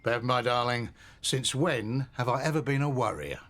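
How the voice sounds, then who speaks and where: A man's voice: medium pitch, normal speed, a bit bright, natural voice. An actor playing a long-suffering, affectionate middle-aged publican and father in a naturalistic radio soap. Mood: fond teasing. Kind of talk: naturalistic radio drama acting.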